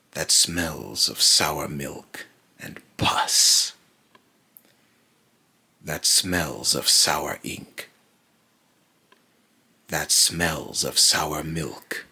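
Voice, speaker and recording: A man voicing a brooding otherworldly being in a slow, halting, grave monologue, raspy and breathy, warning darkly about mortals. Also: very deep voice, bright, forceful tone, heavily acted. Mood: ominous. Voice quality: raspy, breathy, lapsing into harsh whisper. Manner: slow, halting, repeats phrases, ominous and grave. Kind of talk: dark dramatic monologue.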